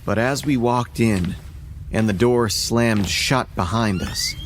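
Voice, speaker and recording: A man's voice: fairly low pitch, takes it slowly, slight background noise. A man reading a scripted first-person horror story as its narrator. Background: music.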